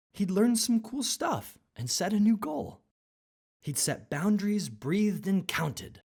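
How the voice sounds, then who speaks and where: A woman with a husky voice narrating a rhyming children's story expressively. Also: low-pitched, darkish tone.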